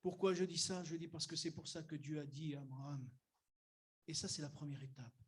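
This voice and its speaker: Older male pastor, high voice, conversational sermon delivery, bursts of emphasis. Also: steady tone, fairly quick, a bit bright, husky. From Algeria. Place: church.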